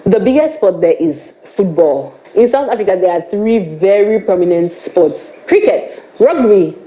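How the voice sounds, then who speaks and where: A woman's voice: medium pitch, highs cut off. A young woman with a Cameroonian English accent, chatting to camera in a playful way, with teasing asides. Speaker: vlogger. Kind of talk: casual chat to camera.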